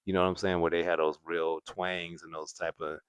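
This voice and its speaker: A man's voice: low-pitched, level tone, brisk, clean tone, no echo. A man hosting a casual livestream, chatting to viewers and laughing often. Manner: laughs often, repeats "at the end of the day". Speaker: host.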